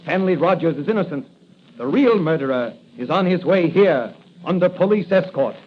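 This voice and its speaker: An actor playing a bold, commanding masked crime-fighter with declamatory delivery in a 1940s radio drama. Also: male voice, fairly high pitch, expressive, moderate pace. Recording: noisy, muffled 1940s radio recording.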